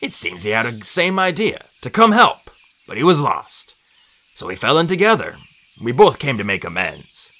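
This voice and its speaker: Man reading as old male inventor, gentle, resigned, measured pace, formal phrasing, storybook character. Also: mid-range voice, huge pitch swings, exaggerated voice. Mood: explaining.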